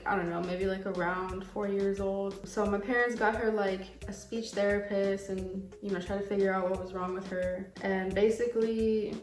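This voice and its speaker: A woman from the United States chatting in a rambling way while she cooks in her home kitchen. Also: medium pitch. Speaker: cooking vlogger. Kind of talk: casual cooking vlog chat. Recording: echoey kitchen, distant mic, soft music.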